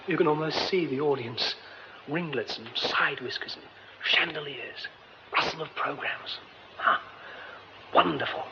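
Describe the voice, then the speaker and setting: An actor playing a polite, excitable, educated theatre man with a British accent and an expressive manner in a radio drama. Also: on the high side, unhurried, creaky, long pauses. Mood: musing. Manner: expressive, muttering exclamations to himself. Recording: dull, noisy radio recording.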